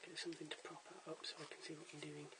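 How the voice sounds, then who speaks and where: A hoarse, croaky-voiced vlogger giving a casual at-home wig tutorial in a chatty, stop-start way, filmed on a camera's own mic. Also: medium pitch, flat delivery, racing, very noisy. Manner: chatty, stop-start with fillers and self-corrections. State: hoarse, lost voice. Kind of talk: casual how-to demonstration.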